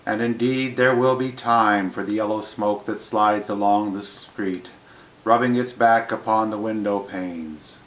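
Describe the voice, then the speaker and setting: Male poetry reader, lowish voice, very unhurried plain literary delivery, recorded in an echoey room. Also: fairly even intonation, a bit bright.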